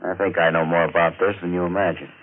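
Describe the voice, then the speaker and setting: An actor playing a smooth, threatening ex-chauffeur in a 1940s radio mystery, with an insinuating, menacing manner. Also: male voice, sits low, flat delivery, fast talker, close to an everyday voice. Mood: probing, smooth. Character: menacing former chauffeur turned would-be killer, smooth and threatening. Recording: narrow, tinny, noisy 1940s radio recording.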